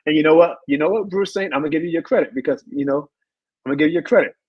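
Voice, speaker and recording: A hoarse man who has lost his voice, hosting a live sports show and ranting with emphatic repeated lines. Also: fairly high pitch, very fast, dry recording, lo-fi sound. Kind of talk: live sports rant and chat reading.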